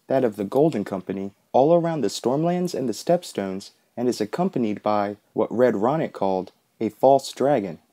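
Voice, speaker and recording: A man's voice: mid-range voice, mellow. A man narrating a scripted book analysis as the host of a video essay.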